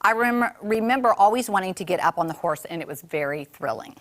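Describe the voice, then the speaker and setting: Woman, animated pitch, enthusiastic, encouraging, casual morning show co-host chat. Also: brisk.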